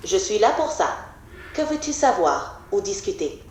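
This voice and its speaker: A computer-generated woman's voice answering as an AI assistant, measured and polite. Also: medium pitch, big pitch swings, a little mellow, reverberant, slight background noise, poor audio. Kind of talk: calm scripted assistant replies.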